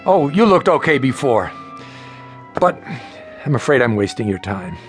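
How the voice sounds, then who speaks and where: An actor playing an exhausted, frightened patient in his thirties in an audio drama, weary and halting. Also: medium pitch, big pitch swings, soft background music, lightly acted. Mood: weary, resigned. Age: 30s. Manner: hesitant, halting. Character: exhausted, frightened, agitated thirty-five-year-old male psychiatric patient.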